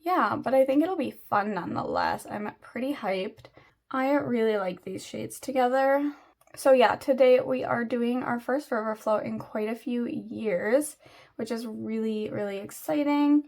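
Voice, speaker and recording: A young woman with a slightly raspy voice, chatting casually and rambling with lots of fillers while she does her makeup. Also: a little high, unhurried, darkish tone. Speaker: beauty vlogger.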